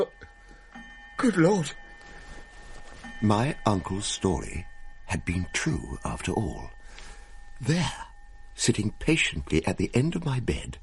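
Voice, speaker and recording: An actor playing a polite, flustered Victorian gentleman with a British accent and a courteous, stammering manner in a comic radio drama. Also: fairly low pitch, creaky, husky, heavily acted.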